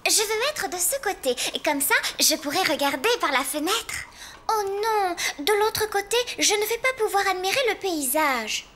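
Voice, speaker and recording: Squeaky, demanding little cartoon animal. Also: female voice, lively intonation, moderate pace, sharp tone, heavily acted. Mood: squabbling. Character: squabbling, demanding young female conjoined twin animals. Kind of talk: children's cartoon dubbing in French.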